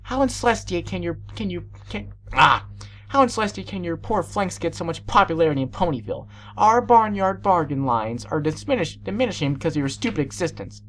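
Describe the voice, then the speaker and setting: A woman's voice: fairly low pitch, lively intonation, fairly quick, slight background noise, voice pushed up, lightly acted. A woman voicing a spoiled, haughty young filly with a temper in a pony story. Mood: haughty anger. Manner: haughty, emphatic.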